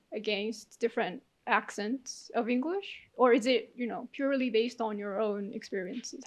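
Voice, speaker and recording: A woman with a somewhat high voice serves as a judge at a speech contest. She asks her questions in a measured, friendly way. She presses gently for concrete examples. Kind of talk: interview questioning. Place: conference hall.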